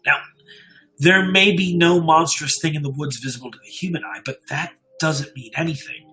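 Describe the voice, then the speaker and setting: A man playing a haunted, fatalistic survivor giving a grave, deliberate first-person horror account with dramatic pauses. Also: on the high side, sharp tone, poor audio. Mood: foreboding.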